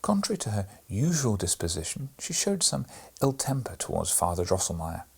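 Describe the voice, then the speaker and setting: Guy reading a kids story aloud, gravelly husky voice, swoops around a lot. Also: fairly low pitch, brisk. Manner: expressive, storytelling. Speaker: reader, channel host. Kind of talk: audiobook narration of a children's story.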